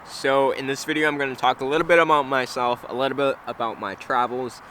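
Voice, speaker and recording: Bright-voiced young man, rambling vlog chat. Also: mid-range voice, fairly even intonation, fairly quick, slight background noise. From United States (Wisconsin). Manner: rambling, hesitant, slangy. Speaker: travel vlogger. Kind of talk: casual unscripted vlog chat.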